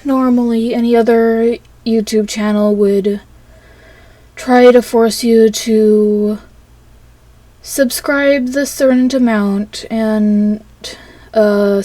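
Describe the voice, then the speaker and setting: Woman, slow unhurried delivery, hesitant and halting, casual rambling monologue, close dry sound. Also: on the high side, steady tone, dark tone, dry recording. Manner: hesitant, halting, trailing phrases. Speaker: channel host recording an audio log.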